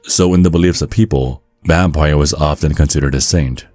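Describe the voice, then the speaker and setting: Very deep-voiced man narrating ASMR. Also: fairly quick, darkish tone, no room sound, soft background music.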